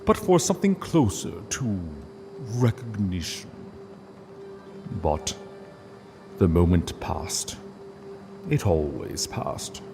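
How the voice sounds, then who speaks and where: A male narrator tells a literary story in a solemn, deliberate way, pausing for drama. His pitch rises and falls expressively, and long reverb trails his words over background music. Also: a little mellow.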